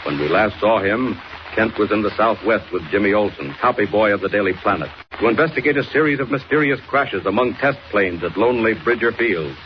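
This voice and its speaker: A man's voice: fairly low pitch, lively intonation, a little fast, close to an everyday voice. A man announcing a 1940s radio serial in a dramatic, declamatory style. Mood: dramatic, urgent. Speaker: announcer and narrator. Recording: muffled, noisy 1940s radio recording.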